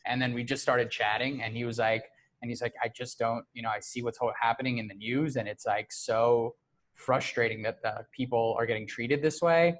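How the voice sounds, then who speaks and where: A man's voice: medium pitch, steady tone, moderate pace, rough recording. Easygoing man telling a story. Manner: easygoing storyteller, frequent "man" and "you know". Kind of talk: casual podcast chat.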